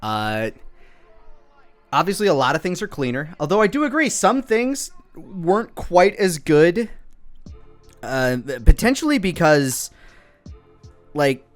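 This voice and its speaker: A man's voice: fairly high pitch, very animated, measured pace, long pauses, soft background music. A man giving casual, off-the-cuff commentary as a creator looking back on his own work. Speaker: creator reviewing own parody episode.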